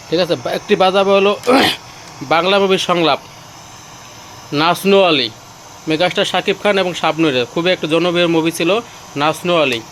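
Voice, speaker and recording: High-pitched man giving repetitive sales patter. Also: fairly bright tone, slight background noise. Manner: repetitive sales patter urging viewers to look. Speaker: seller presenting used car stereo gear. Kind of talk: informal sales demonstration video.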